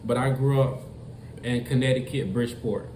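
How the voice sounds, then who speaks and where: A man's voice: medium pitch, normal speed. A man giving a casual commentary monologue, talking straight to his viewers, repeating himself and stressing his points. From Florida. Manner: repetitive, emphatic, talks straight to viewers. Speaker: commentary vlogger. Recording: echoey room with faint noise.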